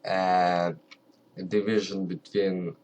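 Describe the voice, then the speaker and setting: A low-pitched man with a Ukrainian accent talks to camera in English, his second language, at a very slow, careful pace. He keeps his voice soft and often stops to search for words. A faint background noise sits under the recording. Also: little pitch movement. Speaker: video creator. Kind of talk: casual talk to camera.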